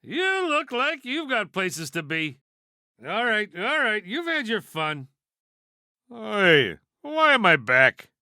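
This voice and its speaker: A man's voice: sits high, huge pitch swings, takes it slowly, pushed and bright, smooth, no echo, clean studio sound, full character voice. A man voicing a wisecracking toy piggy bank in a video game, a brash know-it-all with a sardonic, folksy delivery. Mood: wry, casual, then urgent, alert. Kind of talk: video game character voice lines.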